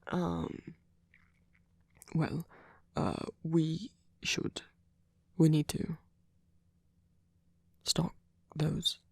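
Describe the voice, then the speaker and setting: A performer voicing a sweet, doting male vampire boyfriend in a breathy, hesitant ASMR whisper. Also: mid-range voice, very animated, no room sound, heavily acted. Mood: fond, hesitant. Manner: hesitant, halting, rambling, with soft filler murmurs. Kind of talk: ASMR roleplay, soft whispered comforting.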